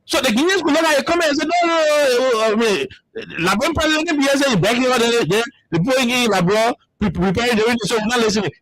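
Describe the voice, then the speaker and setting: A man's voice: extremely high pitch, fast talker, hardly any pauses, fairly bright tone, slight background noise, poor audio. A man from Liberia with a Liberian English accent, hosting a radio talk show with heated political commentary and repeating words as he searches for them. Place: radio studio.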